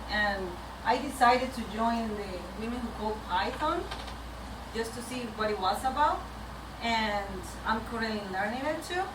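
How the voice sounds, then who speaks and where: Venezuelan-accented woman speaking in an echoey room. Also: a little high. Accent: Venezuelan Spanish. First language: Spanish. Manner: candid, halting, nervous. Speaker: community track lead speaker. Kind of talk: informal personal talk to a meetup audience. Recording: echoey noisy room, distant microphone.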